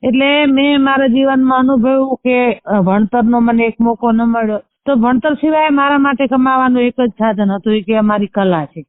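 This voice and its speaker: A woman's voice: a little high, moderate pace, no room sound. A woman with a Kutchi Gujarati accent giving an earnest, spontaneous personal account, repeating phrases as she goes. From Kutch, Gujarat, India. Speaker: artisan entrepreneur, interviewee. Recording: narrow tinny phone-like line.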